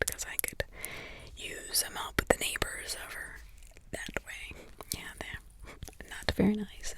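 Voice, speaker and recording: A woman's voice: medium pitch, natural voice. A woman whispering in ASMR roleplay as a weary, wry witch mother hiding in the forest. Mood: musing. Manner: unvoiced whisper, slow and drawn-out. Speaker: ASMR creator.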